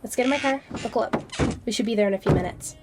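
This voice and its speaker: A woman playing a kindly, brisk and fussy tutor in an audio drama, affectionate but gently scolding. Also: on the high side, over loud music, lightly acted.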